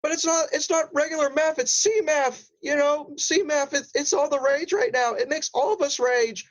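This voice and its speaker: Small cartoon crab, very high voice, hesitant, naive yet scheming, begging and rambling through improvised comedy. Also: male voice, normal speed. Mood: pleading, sly. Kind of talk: improvised comedy role-play.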